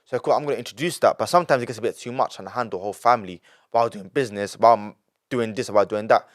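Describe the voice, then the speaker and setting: A man's voice: fairly low pitch, very fast. A nineteen-year-old man with a London accent, a podcast guest talking in a rambling, emphatic way, with lots of restarts and repetition. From London, England. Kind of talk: casual podcast interview.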